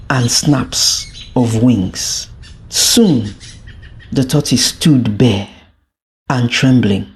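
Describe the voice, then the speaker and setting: Folktale storyteller, scripted narration, extremely slow pace, deliberate, dramatic, mid-range pitch. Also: darkish tone.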